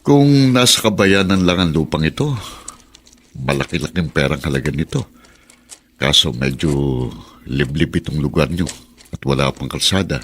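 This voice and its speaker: Deep-voiced, practical Filipino uncle. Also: male voice, animated, normal speed, long pauses, fairly bright tone, exaggerated voice. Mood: practical, advising. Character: practical, advising older brother from the city. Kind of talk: Filipino radio drama acting.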